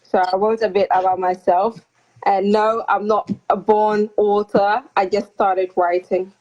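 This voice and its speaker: A young woman from the Netherlands talks over a video call, her voice mid-pitched and a little muffled by lo-fi call audio. She speaks softly and hesitantly, with frequent ums and small pauses, in a relaxed interview. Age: young adult. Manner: soft-spoken, hesitant with frequent ums and light laughs. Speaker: guest author. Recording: slightly dull lo-fi call audio.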